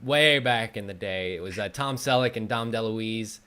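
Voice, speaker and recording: A man's voice: fairly low pitch, moderate pace, bright, forceful tone, clear, resonant tone. A man hosting a casual conversational interview show. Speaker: host. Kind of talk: casual chat.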